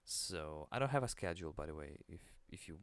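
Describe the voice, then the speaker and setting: A man with an Italian accent hosting a podcast alone, keeping his voice low and soothing, with an occasional stutter into the microphone. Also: expressive, fairly quick, a bit bright, dry recording. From Italy. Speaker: podcast host. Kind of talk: casual solo monologue.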